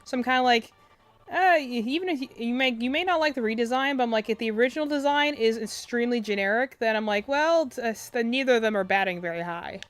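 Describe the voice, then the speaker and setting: A young woman with a quiet, soft voice chatting casually on a game stream, rambling with lots of fillers and restarts, close to her desk mic. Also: fairly high pitch, fast talker. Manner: rambling, filler-heavy, self-interrupting. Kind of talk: casual live-stream chat. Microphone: desk mic close to face.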